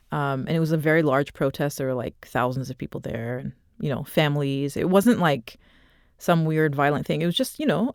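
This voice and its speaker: Soft-spoken reflective woman, dry close mic. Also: sits low, clean tone, dry recording. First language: not Arabic. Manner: soft, reflective, halting with many fillers. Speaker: author and podcast guest. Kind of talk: personal story in an interview.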